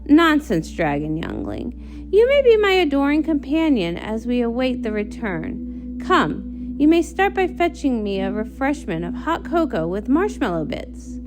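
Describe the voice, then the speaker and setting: Woman acting a stately pony princess, animated delivery. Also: on the high side, measured pace, noisy background. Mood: haughty. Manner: stately, old-fashioned formal speech. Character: regal, formal pony princess of the night. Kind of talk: comic read-along character acting.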